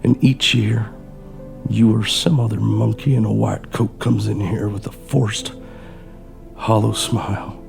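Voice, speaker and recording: Man acting a gravelly, weary, protective father. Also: fairly low pitch, level tone, a little slow, music in the background. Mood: bitter, angry. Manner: intense, emotional, weary. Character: bitter, weary, protective father of a sick girl. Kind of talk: dramatic audio play acting.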